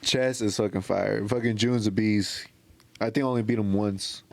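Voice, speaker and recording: A man on a podcast, making slow, deadpan quips in casual chat. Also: on the low side, creaky, no echo. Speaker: podcast co-host.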